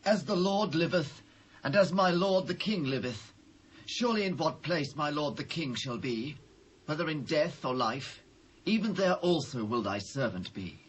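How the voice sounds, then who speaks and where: A man's voice: fairly high pitch, expressive, normal speed, lightly acted, rough recording. A British man playing a loyal follower of a king in a dramatised scripture, declaiming fervently in archaic English. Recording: faint noise and ambience, old cassette.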